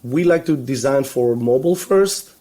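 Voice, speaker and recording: A man originally from Greece talks on a video call from a room at home, his voice sitting at an ordinary middle pitch. He rambles in loose runs, restarting and filling gaps with "you know" and an easy laugh. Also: expressive, moderate pace, warm tone. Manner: rambling, many restarts and fillers, laughs easily. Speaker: guest, web agency founder. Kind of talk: casual video call interview. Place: home room full of toy shelves.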